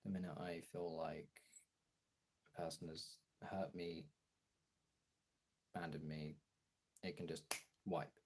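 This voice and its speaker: A man chatting casually as a streamer, thinking aloud haltingly as he searches for words and trails off. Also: a bass voice, little pitch movement, a little mellow, slight background noise.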